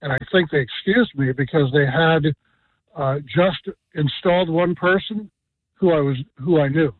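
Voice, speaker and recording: A man calling in to a radio show, telling a story in a halting, rambling way with many fillers. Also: fairly high pitch, dry recording. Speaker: caller. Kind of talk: phone-in chat. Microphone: telephone. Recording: dry tinny phone line.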